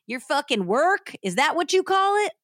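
A woman voicing a furious, crude jealous husband who shouts accusations in a true-crime retelling. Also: sits high, big pitch swings, moderate pace, no room sound, studio quality, pitched above the performer's own voice. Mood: furious, accusing.

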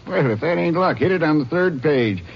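An actor playing a folksy, chatty small-town druggist who mutters to himself in an old-time radio western. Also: male voice, fairly high pitch, lively intonation, a little fast, vocal fry. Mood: folksy, bustling. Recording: muffled, noisy vintage radio recording.

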